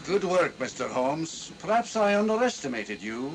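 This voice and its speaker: An actor playing a composed, officious police inspector with dry authority, in an old film mystery style. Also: male voice, a little high, big pitch swings, moderate pace, poor audio. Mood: businesslike. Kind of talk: 1940s film mystery acting.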